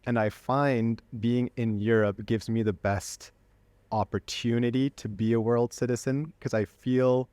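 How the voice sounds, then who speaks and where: A man hosting a casual conversational interview, thinking aloud in a halting way. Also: fairly low pitch, a little mellow, no room sound. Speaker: podcast host.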